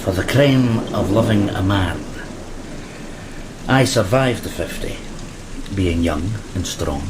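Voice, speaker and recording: A man's voice: sits low, slow, background noise. A man playing a wistful, weathered sailor, speaking hesitantly and plainly in a gothic audio play. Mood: somber. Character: wistful, weathered male sailor, survivor of a flogging. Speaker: actor in an audio drama. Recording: steady rain behind the voice.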